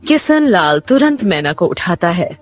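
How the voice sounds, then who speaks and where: A woman narrates a scripted children's story in a fairly low voice. Her intonation is lively and varied, with gentle music behind her. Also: unhurried.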